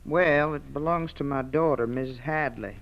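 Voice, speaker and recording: An actor playing a blunt, wary older farmer with drawn-out rural talk in a 1940s radio drama. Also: male voice, fairly high pitch, gravelly. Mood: curious, wary. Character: gruff, wary older farmer, a gangster's in-law. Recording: dull old radio recording.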